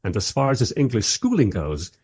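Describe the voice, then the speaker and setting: An actor as a wry, husky male narrator. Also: fairly low pitch, huge pitch swings, brisk, darkish tone, dry recording, exaggerated voice. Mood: wry recounting. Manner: dry, sardonic storytelling. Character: wry, weary male filmmaker narrator named Fred. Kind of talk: radio play first-person narration.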